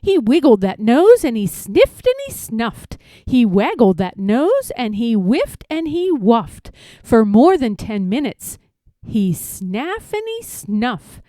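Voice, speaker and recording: Female storybook narrator, soft-toned and animated, deliberate rhythmic delivery, close dry sound. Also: a little high, no room sound. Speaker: storybook reader. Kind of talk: expressive scripted rhyming story narration.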